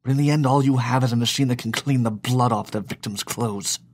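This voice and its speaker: A man playing a cocky, needling scientist in an audio drama, sardonic, with a husky voice. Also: medium pitch, brisk, close to an everyday voice. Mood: mocking. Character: cocky, mocking male scientist angling for power.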